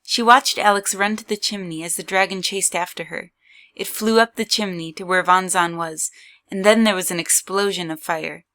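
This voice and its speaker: A woman's voice: fairly low pitch, steady tone, normal speed, fairly bright tone. A woman reading scripted third-person story narration as the narrator of an audio drama.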